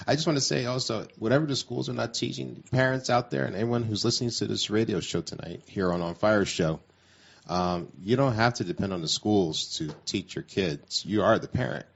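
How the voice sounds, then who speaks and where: A man, a radio producer, talking casually on air in a halting, hesitant way, on slightly dull lo-fi audio. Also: on the low side, creaky, husky.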